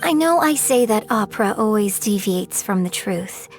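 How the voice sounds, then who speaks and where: A woman voicing a refined, gentle young opera performer in a video game, polite, measured and earnest. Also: medium pitch, animated, faint music behind, close to an everyday voice. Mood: earnest, encouraging.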